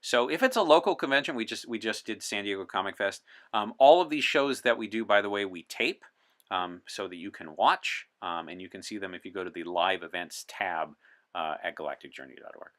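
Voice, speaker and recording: Man, very low pitch, crisp forceful tone, earnest deliberate delivery, dry humor, interview guest. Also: expressive. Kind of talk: in-character interview chat as a time traveler from 1964.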